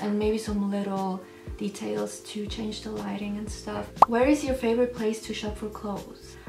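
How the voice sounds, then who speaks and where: A young woman from Switzerland hosting a casual Q&A vlog from her bedroom, talking to the camera in a chatty, slightly self-conscious way with pauses and restarts. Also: mid-range voice, a little mellow, echoey room, recorded from a distance. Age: young adult. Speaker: channel host. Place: bedroom at home, indoors.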